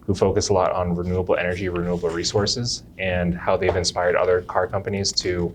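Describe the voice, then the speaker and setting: Young male chiropractor for an interview, very low voice, unhurried, restarts his sentences, faint room hiss behind. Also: little pitch movement. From Jamaica. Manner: unhurried, restarts phrases often. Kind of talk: interview answers.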